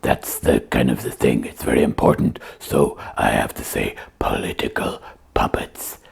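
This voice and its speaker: A gruff sock puppet in a comedy puppet show. The voice is extremely low and heavily rasped, breaking into breathy gravel. It is naive and curious, speaking in short, blunt questions. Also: female voice, moderate pace, a little mellow, whispered, exaggerated voice. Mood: earnest.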